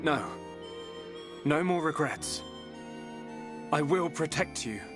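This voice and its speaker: A young hero of a fantasy video game, protective and earnest. His highish voice moves widely in pitch, emotive and unhurried, with music playing behind him. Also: animated. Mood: earnest resolve. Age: young adult. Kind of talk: video game cutscene voice acting.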